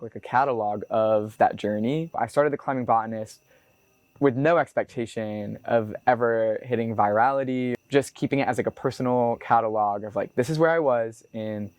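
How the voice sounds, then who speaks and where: A young American man in his twenties speaks at an ordinary middle pitch, his voice dry and close with no room around it. He talks in a loose, casual way, dotting his thoughts with "like" as he reflects. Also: a little mellow, dry recording. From United States. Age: 20s. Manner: casual, loose, full of "like" fillers. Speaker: botanist and climber being profiled. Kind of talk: reflective documentary interview.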